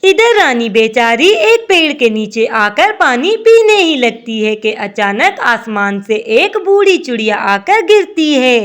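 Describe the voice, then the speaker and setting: A woman narrating a scripted children's cartoon story in an expressive storybook manner. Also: high voice, a little slow, few pauses.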